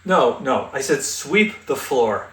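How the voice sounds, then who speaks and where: A man's voice: on the high side, animated, normal speed, noisy background, natural voice. A man acting as an insistent customer dealing with a temp robot in a comedy sketch. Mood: exasperated, insistent.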